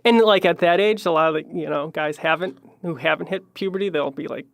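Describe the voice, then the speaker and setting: A young man from Chicago with a high voice, rambling through his story with lots of fillers and little trailing-off phrases. His voice is higher than most men's and has a gravelly fry in it. He is recorded close up in a dry room with no echo. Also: brisk, fairly bright tone. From Chicago, Illinois. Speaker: musician guest. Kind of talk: casual podcast interview.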